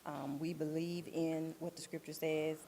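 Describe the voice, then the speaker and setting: A woman giving earnest, deliberate interview answers as a director and playwright. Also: sits low, flat delivery, warm tone. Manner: earnest, faith-minded, deliberate.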